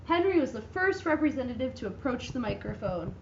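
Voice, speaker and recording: A woman giving a dramatic reading of a children's picture book, with lively, expressive delivery and voices for the characters. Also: a little high, brisk, vocal fry, roomy sound, dull audio, slight background noise. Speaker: reader.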